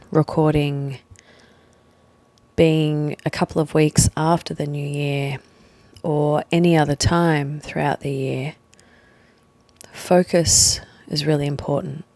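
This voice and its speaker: A woman with a low voice leads a guided meditation. She speaks very gently and slowly, leaving space between short phrases. The sound is close and dry, with no room echo. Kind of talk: guided meditation, slow soothing instruction.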